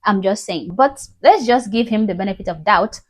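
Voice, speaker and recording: A woman's voice: medium pitch, moderate pace, husky. A woman, an Igbo speaker, giving blunt, mocking reaction commentary. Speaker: commentary host.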